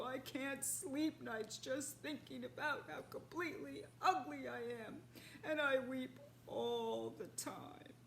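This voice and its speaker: A woman voicing an old, angry, weepy male rhinoceros, speaking loudly and drawing out her words. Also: high-pitched, gravelly, slight background noise, pitched above the performer's own voice, full character voice. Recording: echoey room with faint ambience.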